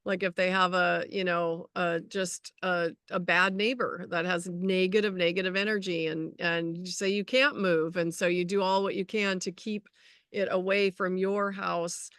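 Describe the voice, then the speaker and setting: A woman hosting a casual conversation, agreeable and affirming, with halting phrasing. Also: on the low side, flat delivery, a bit bright, clear, resonant tone, dry recording, clean studio sound. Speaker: host. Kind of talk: casual chat.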